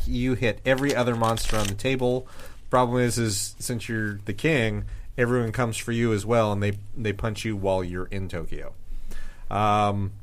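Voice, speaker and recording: Male co-host, mid pitch, relaxed explainer; good for casual podcast reads. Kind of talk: casual chat, explaining game rules.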